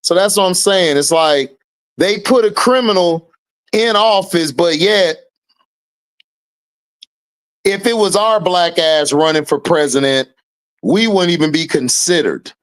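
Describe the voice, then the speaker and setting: A man's voice: sits high, unhurried, pauses often, clean tone. A man, hosting a casual sports stream, blunt and heated and swearing freely. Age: 30s. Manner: blunt and heated, swearing freely, rising loudly when riled. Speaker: host of an online sports and commentary stream. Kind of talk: casual opinionated commentary, reacting to chat.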